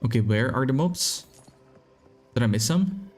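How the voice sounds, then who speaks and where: A man's voice: medium pitch, expressive, a little slow, darkish tone, soft background music. A young man with a Czech accent, a game streamer chatting casually with viewers, swearing and reading chat aloud. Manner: laid-back, swears, reads chat aloud.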